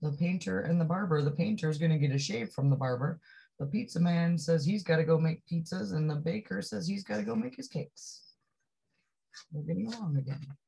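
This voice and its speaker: Husky-voiced woman reading to children. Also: sits low, poor audio. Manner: expressive, drawn-out refrains and questions to the listener. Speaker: storybook reader. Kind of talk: animated read-aloud of a picture book for young children, with chatty asides.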